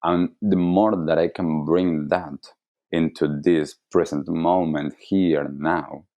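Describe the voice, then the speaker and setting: A man with an Argentine accent giving reflective interview answers as a wellness coach, explaining deliberately and earnestly. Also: low voice, fairly even intonation, warm tone. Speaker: wellness coach, podcast guest.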